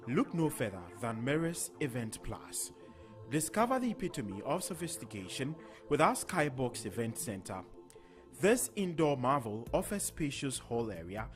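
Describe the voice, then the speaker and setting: Brief: male announcer, animated pitch, polished ad read, soft music bed. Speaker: ad voice-over announcer. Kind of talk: scripted advertisement voice-over.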